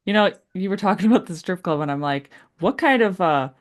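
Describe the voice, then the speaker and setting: A man's voice: sits high, expressive, a little fast. A middle-aged man chatting casually as a host, dropping dry, teasing asides. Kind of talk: casual chat.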